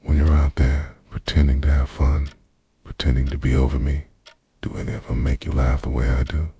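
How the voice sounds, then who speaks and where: Possessive yandere boyfriend played by a man for ASMR roleplay: extremely deep, soft, manipulative and insistent, closely miked and dry. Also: flat delivery, a little fast, no room sound, full character voice. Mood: quiet, intense. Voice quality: soft, low, breathy at times. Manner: intimate, insistent, pleading and accusing. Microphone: close microphone, dry sound.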